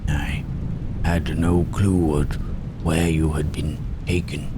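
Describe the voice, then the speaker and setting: A man playing a gentle, remorseful and protective huge monster, Frankenstein's creature, in a halting, hushed, soothing ASMR roleplay with formal phrasing. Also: rumbling low, flat delivery. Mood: slow, reflective. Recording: loud rain and thunderstorm noise.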